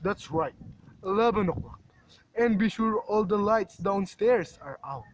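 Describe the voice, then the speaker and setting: A man playing a commanding, methodical crime boss in an amateur radio play, giving deliberate instructions on a slightly noisy recording. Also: high-pitched, lively intonation. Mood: cold, methodical.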